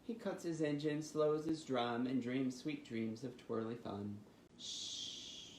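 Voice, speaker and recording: Male children's storytime host, mid-pitched, expressive and sing-song, recorded in an echoey room. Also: takes it slowly. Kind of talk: reading picture books and leading finger rhymes. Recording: roomy echo with faint background noise.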